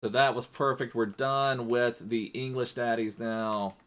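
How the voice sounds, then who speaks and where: A man's voice: medium pitch, steady tone, measured pace, fairly bright tone. A man streaming a football video game live, chatting casually in a rambling way and thanking viewers as he plays.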